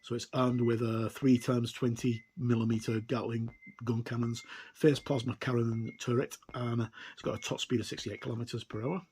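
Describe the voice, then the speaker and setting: A man giving a casual, unscripted product walkthrough as a video reviewer, talking in a halting, conversational way. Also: medium pitch, little pitch movement, a little mellow, slight background noise. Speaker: game reviewer and video presenter.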